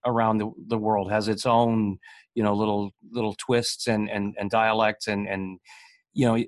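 Dry, rambling Canadian host. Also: male voice, lowish voice, no echo. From Ottawa, Canada. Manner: rambling, many restarts. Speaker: podcast host. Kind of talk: casual interview chat.

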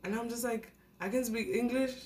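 A young woman from South Africa, a podcast guest, telling a personal story in a casual, animated way with lots of fillers. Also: fairly high pitch, brisk. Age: young adult. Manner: animated, acts out her younger self.

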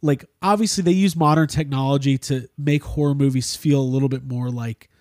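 A man's voice: medium pitch, steady tone, a little fast, darkish tone, dry recording, studio quality. A man hosting a casual podcast chat about a film, rambling and jokey, swearing freely. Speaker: podcast host.

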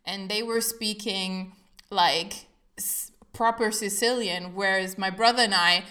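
A woman's voice: medium pitch, steady tone, unhurried, sharp tone. A woman podcast host who was born in Italy and grew up in Germany, chatting casually in a rambling way with many "um"s and "like"s. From Germany, Italian-born. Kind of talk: casual conversational chat.

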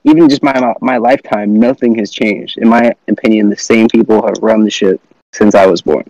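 A man's voice: on the low side, fairly even intonation, fairly quick, a little mellow. A man in his twenties chatting casually about politics in an opinionated, slangy way, recorded on dull lo-fi audio. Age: 20s. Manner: opinionated, informal, slangy with profanity.

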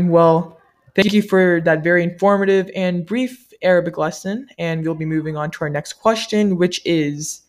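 A woman from the US, a native Malayalam speaker, asking interview questions in a polite, measured, rehearsed way. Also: lowish voice, fairly even intonation, darkish tone, a little hiss or hum. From United States. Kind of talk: scripted-sounding interview questions.

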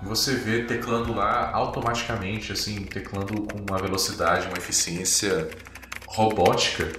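A Brazilian man working as game master, improvising tabletop RPG narration in a halting, descriptive way with frequent tag questions. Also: lowish voice, fairly even intonation. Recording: very echoey room, distant, music behind. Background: music.

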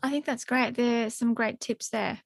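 A woman hosting a workplace podcast talks in a fairly high voice, chatty and open, with a stream of small fillers. Her voice sounds close and dry, with no room echo. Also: fairly even intonation, normal speed, vocal fry. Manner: chatty and warm, with many fillers and restarts. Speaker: podcast host, team member.

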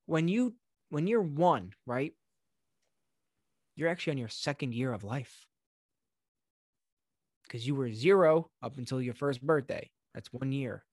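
A man's voice: mid-range voice, lively intonation, unhurried, long pauses, a little mellow, no room sound, studio quality. A man in his late twenties chatting casually, insistent and argumentative, with crude language. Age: late 20s. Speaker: talk show co-host. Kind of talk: casual bantering chat.

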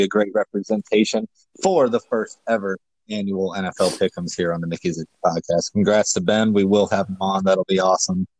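A man's voice: on the low side, no room sound, low-quality recording. A man co-hosting a casual sports podcast, playful and jokey, with a hype delivery. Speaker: podcast co-host.

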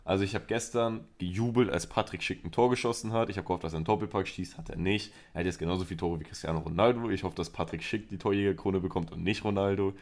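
A man's voice: low-pitched, fairly even intonation, fast talker, hardly any pauses, a little mellow. A man co-hosting a podcast, chatting casually with a wry, joking manner. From Southern Europe. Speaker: podcast co-host. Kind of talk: casual chat.

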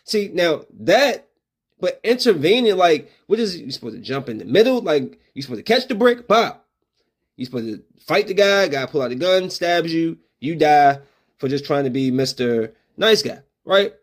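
A man with a high, bright-pitched voice holds forth on his own podcast. His talk is loose and full of slang. He breaks into animated, raised-voice re-enactments of confrontations as he makes his points. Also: moderate pace. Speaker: podcast host. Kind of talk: solo opinion podcast talk.

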